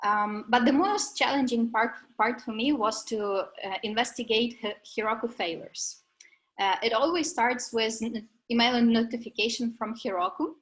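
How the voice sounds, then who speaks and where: A young woman explains a software project step by step. Her voice sits a little high, and she sounds careful and methodical as she presents at a graduation event. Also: normal speed, poor audio. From Ukraine. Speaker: graduating coding student presenter.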